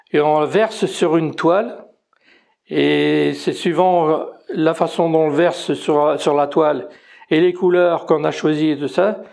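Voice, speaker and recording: Slow gravelly Belgian older man. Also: on the high side, a little mellow. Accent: Belgian French. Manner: hesitant, many fillers and restarts. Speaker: amateur painter. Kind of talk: casual interview.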